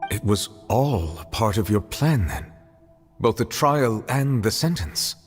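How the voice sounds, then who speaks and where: Deep, grave, dignified dragon judge. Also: male voice, expressive. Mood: troubled. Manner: formal, reserved, deliberate questioning. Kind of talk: dramatic video game voice acting. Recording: music behind the voice. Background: music.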